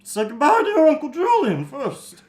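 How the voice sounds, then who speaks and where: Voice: high voice, wildly swooping pitch, normal speed, darkish tone, echoey room. An amateur reader playing an earnest fantasy mentor with an emphatic delivery, in a reader's theater reading. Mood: encouraging. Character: Noblin, earnest mentor sending a young hero off.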